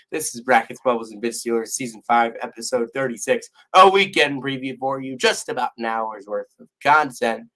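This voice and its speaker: A hoarse young man co-hosting a casual sports podcast, losing his voice and restarting his sentences as he rambles on. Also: mid-range voice, sharp tone, poor audio. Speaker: podcast co-host.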